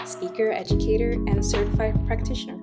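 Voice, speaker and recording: A woman's voice: fairly high pitch, level tone, brisk, loud music behind the voice. A woman hosting a coaching podcast, upbeat and enthusiastic as she introduces the show and chats with her guest.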